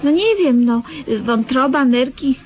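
A woman's voice: high-pitched, expressive, unhurried. An actress playing a fussy, doting woman who lectures earnestly and gets flustered in a comic radio play. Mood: patient, flustered. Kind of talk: comedic radio play acting. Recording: slightly noisy old radio recording.

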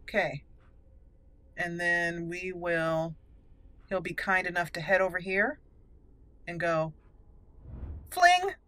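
A woman hosting a casual let's play, chatty and expressive as she talks to the game characters. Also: lowish voice, slow, long pauses, dry recording. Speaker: gaming channel host. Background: game music.

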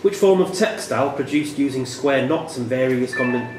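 A man reading out quiz questions as quiz master in a measured, deliberate way. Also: medium pitch, music in the background. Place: indoor pub room. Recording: echoey pub room with some noise.

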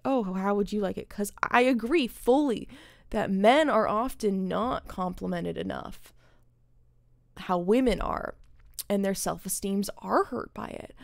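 Young woman, gaming streamer, creaky voice, casual commentary, emphatic, swears freely, dry indoor recording. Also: medium pitch, no room sound. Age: young adult. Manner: earnest, emphatic, profane.

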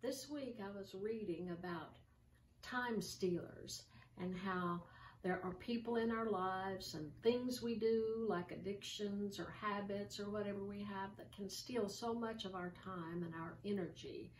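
Older woman, gentle chatty devotional host, sits back from the camera in a roomy space with faint hiss. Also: medium pitch, unhurried. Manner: gentle, conversational, with many ums and restarts. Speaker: host of an online church show. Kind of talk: informal devotional talk with scripture reading. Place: small room with curtains, seated in an armchair. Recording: roomy, distant home recording with faint hiss.

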